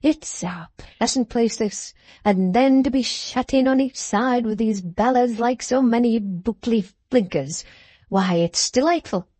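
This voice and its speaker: A woman's voice: fairly high pitch, a little slow, warm tone, no room sound, voice pushed up. A woman voicing a jovial, boastful older man who speaks in broad, ungrammatical English, eager and enthusiastic. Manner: broad, rough-grammared, enthusiastic.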